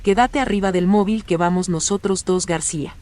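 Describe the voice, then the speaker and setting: Man acting high-voiced Argentine police officer. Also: fairly even intonation, brisk, a little mellow, lightly acted. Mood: concerned. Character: inquisitive, practical police officer. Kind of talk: radio drama acting.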